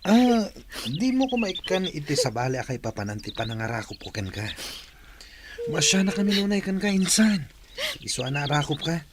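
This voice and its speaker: Guy playing young cousin comforting someone, lively up and down voice, ilocano accent, noisy radio drama audio. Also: a little high, normal speed. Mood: coaxing. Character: consoling young male cousin. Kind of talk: Filipino radio drama acting.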